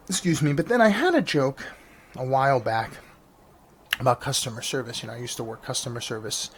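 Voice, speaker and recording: A man from New York, a stand-up comedian hosting his own vlog podcast, rambling casually with lots of restarts and fillers. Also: mid-range voice. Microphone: janky mic. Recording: faint engine noise behind. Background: engine noise.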